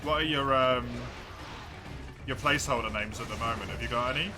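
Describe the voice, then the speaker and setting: A man's voice: mid-range voice, a little fast. An English man chatting casually with his live-stream viewers, laughing often, mocking himself and swearing easily. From England. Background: music.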